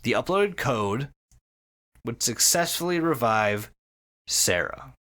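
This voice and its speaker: Male podcast host, animated pitch swings, casual sweary storyteller, enthusiastic about the material. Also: takes it slowly. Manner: sweary, enthusiastic, with repeated words. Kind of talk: casual storytelling recap of an internet horror story.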